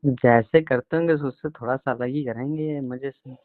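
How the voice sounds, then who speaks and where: A man's voice: medium pitch, steady tone, moderate pace, dry recording. A man chatting casually and intimately on a phone call, in a coaxing, teasing manner. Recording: tinny, band-limited phone call recording.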